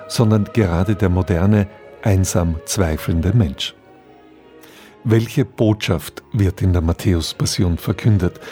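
A man reading a scripted radio introduction in a measured, formal, explanatory manner. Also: fairly low pitch, warm tone. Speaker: radio presenter introducing a radio drama.

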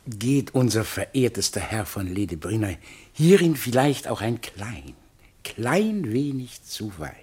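An oily, self-pleased scholar in a literary radio drama. He speaks slowly in a husky voice that swoops widely, drawing out his elaborate flattery in a mannered way. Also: on the low side, full character voice. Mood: mincing, cautious. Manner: unctuous, mannered, over-elaborate. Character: fawning, slippery scholar who flatters everyone and himself. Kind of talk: theatrical radio play acting of literary text.

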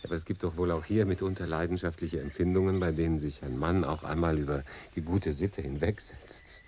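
A man's voice: deep voice, fairly even intonation, a little fast, warm tone, slight background noise, natural voice. An actor in his late thirties playing a cool, urbane English consul in a German radio drama, thoughtful, dry and understated. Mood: curious, probing. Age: late 30s.

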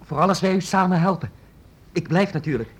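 An actor playing a loyal, earnest young apprentice in a radio play, stammering at times. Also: male voice, sits high, brisk, slightly rough. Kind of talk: radio drama acting.

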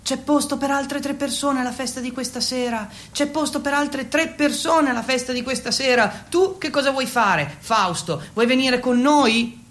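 A man's voice: very high-pitched, normal speed, few pauses, fairly bright tone, voice pushed up. An actor voicing a friendly, helpful teenage girl with an inviting manner in a radio monologue.

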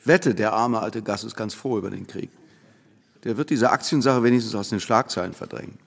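Verliebten Anwalt mit knarrender Stimme. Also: male voice, fairly low pitch, brisk, creaky. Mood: flirtatious, casual. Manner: hesitant, ardent, intimate. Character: smitten, smooth-talking male society lawyer. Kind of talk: German radio play acting.

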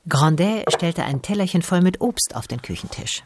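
A man's voice: on the high side, animated, moderate pace, dry recording. A man reading scripted narration for a radio drama.

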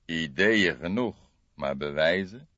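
A man acting out a weary old male detective from a crime audiobook. He is low-pitched, sombre and deliberate, recorded dry and slightly dull. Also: lively intonation, bright, forceful tone, no echo, exaggerated voice.

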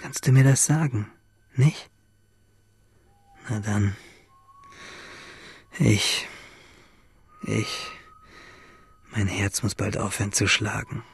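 An actor playing a wistful, tender young shipwrecked seaman in a radio drama monologue, intimate and halting, with a husky voice. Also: on the low side, heavily acted. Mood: exhausted, desperate. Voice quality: husky, gravelly, breathy.

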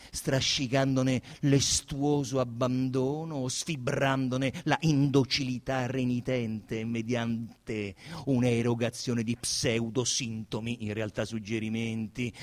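A man with a Roman accent, an actor giving an intense, theatrical reading of a literary novel, swinging between hushed murmurs and loud declamation. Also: mid-range voice.

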